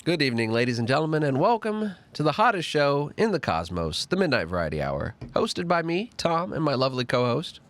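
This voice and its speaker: A man hosting a casual podcast chat, congested from a cold and sounding nasal, talking in an animated way. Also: fairly quick, few pauses, a little hiss or hum. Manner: animated and talkative, pitch jumping up on emphasis. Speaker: podcast host.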